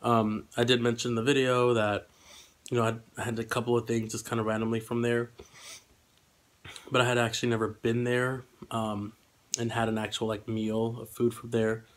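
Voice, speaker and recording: Young man with flat, congested voice. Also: medium pitch, normal speed. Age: young adult. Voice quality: slightly congested. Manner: hesitant, rambling. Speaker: vlogger. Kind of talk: casual eating vlog chat. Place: small room indoors at home.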